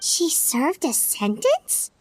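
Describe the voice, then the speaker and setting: Game sidekick: tiny eager fairy girl, extremely high, squeaky, inquisitive, music underneath. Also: wildly swooping pitch, slow, heavily acted, low-quality recording. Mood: curious. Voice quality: squeaky, childlike. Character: tiny, childlike female fairy companion, chatty and curious. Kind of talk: video game voice acting.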